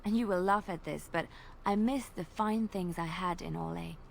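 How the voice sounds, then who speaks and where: A woman voicing a young female bard and former spy in a video game, speaking in a soft, lilting way and lingering on detail. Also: fairly low pitch, moderate pace, vocal fry, a little hiss or hum. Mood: wistful. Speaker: game companion character.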